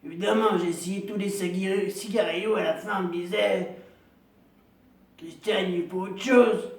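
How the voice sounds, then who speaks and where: A man playing a lonely, proud woman in her fifties, a chain-smoking heavy drinker with a deep, gravelly voice who rambles slowly and repeats herself in a comic monologue. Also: fairly even intonation, reverberant, distant mic. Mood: rambling. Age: 50s. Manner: slow, rambling, repetitive, self-pitying.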